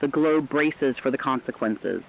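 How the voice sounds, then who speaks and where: A man's voice: mid-range voice, a little slow, creaky. A man playing a resolute war correspondent, delivering scripted dramatic news in a stern, declamatory way. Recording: dull lo-fi radio sound.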